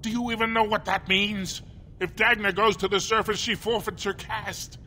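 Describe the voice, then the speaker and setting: An actor playing a gruff, worried middle-aged dwarf father in video game dialogue, with a gravelly voice. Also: high-pitched, level tone, moderate pace, pushed and bright, slight background noise. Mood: stern, exasperated.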